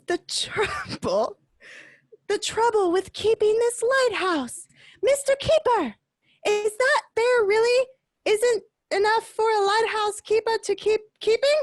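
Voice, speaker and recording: A woman playing a fussy, high-voiced lighthouse keeper's wife. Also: no echo, clean studio sound, heavily acted. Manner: fussy, rambling complaints. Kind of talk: amateur radio play acting.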